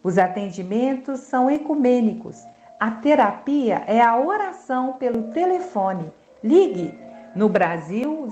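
A woman making a radio announcement for a prayer line, inviting listeners in a warm appeal. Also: fairly high pitch, roomy sound, highs cut off.

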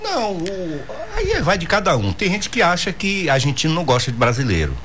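A man's voice: on the high side, wildly swooping pitch, a little fast, a bit bright, slight background noise. A man co-hosting a casual radio talk show, blunt and opinionated, often cutting in with his own views. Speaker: radio co-host.

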